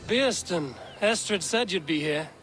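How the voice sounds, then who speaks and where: An actor playing a steadfast, commanding Viking warrior with a firm, earnest delivery in a game cutscene. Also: male voice, high voice, lively intonation, unhurried, a bit bright, rough recording. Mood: wry, friendly. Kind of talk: video game cutscene acting.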